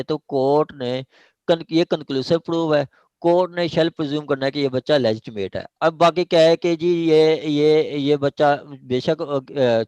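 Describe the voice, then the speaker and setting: A man's voice: mid-range voice, darkish tone, clear, resonant tone, no echo. A man with a Pakistani accent lecturing an online law class, repeating points, checking understanding and mixing in English legal terms.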